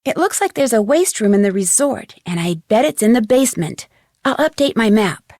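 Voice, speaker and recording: A curious young female sleuth speaks in a high, articulate voice, close and dry like a studio game recording. She sounds plucky and inquisitive, as if reasoning through a mystery aloud. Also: big pitch swings, normal speed, darkish tone, dry recording. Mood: focused, musing. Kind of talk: scripted video game voice acting.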